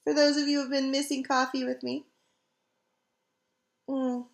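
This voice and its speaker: Middle-aged woman, gravelly scratchy throat, kinda high voice, rambly couch chat into a webcam. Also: little pitch movement, normal speed. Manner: rambling, chatty, frequent ums and throat clearing. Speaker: craft vlogger. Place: living room couch at home. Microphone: computer webcam.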